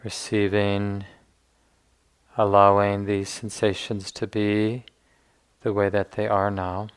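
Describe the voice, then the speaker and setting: A man leading a guided meditation as a teacher, speaking gently in unhurried phrases with long pauses. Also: lowish voice, monotone, clean tone.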